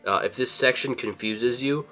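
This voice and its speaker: A man's voice: medium pitch, moderate pace, bright, forceful tone. A man narrating a video game walkthrough as its host, giving plain step-by-step directions. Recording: slightly dull lo-fi voice-over. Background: faint game music.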